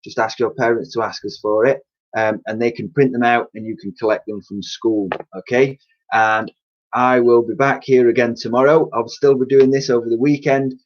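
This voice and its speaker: A man's voice: mid-range voice, dull audio. A man reading a children's storybook aloud expressively, like a teacher addressing his pupils.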